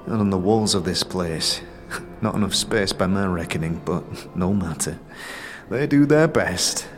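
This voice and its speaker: A man's voice: sits low, measured pace. A man voicing a rueful, plain-spoken working man with slangy talk in a fantasy audio drama. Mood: rueful, gruff. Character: gruff, rueful working man with thick bullish neck.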